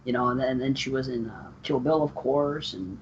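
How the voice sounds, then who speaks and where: A man's voice: mid-range voice, a little fast. Podcast co-host, male, rambling talker, slightly muffled audio with faint hiss. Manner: rambling, many fillers and restarts. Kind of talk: casual chat. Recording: slightly dull, faint noise.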